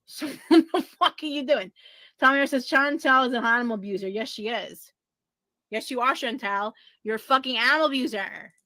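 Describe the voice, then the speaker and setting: A woman's voice: fairly high pitch, fairly quick, sharp tone, dry recording. A woman ranting profanely and defensively on a livestream. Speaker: streamer being reacted to. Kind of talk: angry livestream rant.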